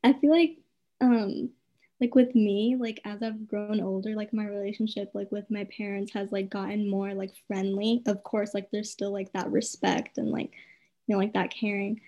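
A woman's voice: medium pitch, level tone, moderate pace, warm tone. A young woman of Habesha family raised in the United States, chatting casually and filling her speech with "like". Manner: chatty, heavy use of "like". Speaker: conversation partner. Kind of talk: casual conversation. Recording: muffled, dull sound.

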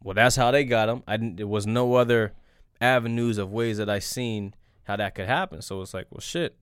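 A young man with a low, smooth voice hosts a casual interview, talking openly and loosely with fillers and restarts. The sound is close and dry, with no room echo. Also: normal speed. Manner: relaxed, candid, frequent fillers and restarts. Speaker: podcast host.

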